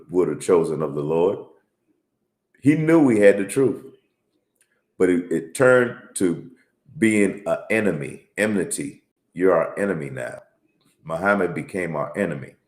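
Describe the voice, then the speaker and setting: A man teaching from the Bible in a casual, repetitive way, often tagging lines with "man" and reading scripture aloud in a raised, slower voice. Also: medium pitch, echoey room. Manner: tags lines with "man", repeats himself. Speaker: religious teacher. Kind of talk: informal Bible teaching and commentary.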